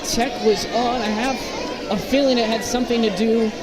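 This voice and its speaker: High-pitched man calling basketball over roaring crowd. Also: fairly even intonation, normal speed. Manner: clipped, fragmentary play calls. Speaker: play-by-play sports announcer. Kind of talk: live basketball play-by-play commentary. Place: press booth at an indoor basketball game. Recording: very loud crowd and gym noise. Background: loud crowd, bouncing basketballs.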